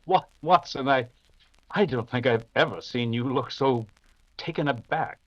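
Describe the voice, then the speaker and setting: An actor playing a confident, dismissive veteran detective in the manner of 1940s radio drama. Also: male voice, mid-range voice, lo-fi sound, lightly acted. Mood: amused. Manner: brisk, dismissive, assured. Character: confident, dismissive veteran English detective, dryly amused and unflappable.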